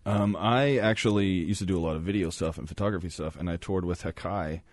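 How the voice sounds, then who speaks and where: A man from Arkansas, a band's bassist, with a deep voice, telling stories in an easygoing way as a radio guest. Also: a little fast, no room sound. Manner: relaxed storyteller, easygoing. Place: radio studio.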